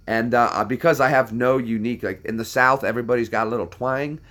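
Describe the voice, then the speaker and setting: A man's voice: mid-range voice, quick pace, fairly bright tone. A young American man chatting casually to viewers, answering questions in a rambling, self-deprecating way with lots of fillers. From United States. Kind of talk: casual chat.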